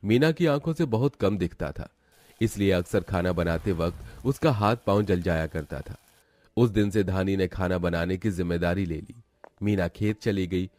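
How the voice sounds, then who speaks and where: A man's voice: sits low, moderate pace, darkish tone. A man as narrator, reading a scripted cartoon story.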